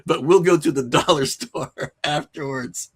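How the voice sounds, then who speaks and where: Casual man telling animated anecdotes. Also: a little high, measured pace, husky. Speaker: podcast guest, filmmaker and horror creator. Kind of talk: casual podcast chat.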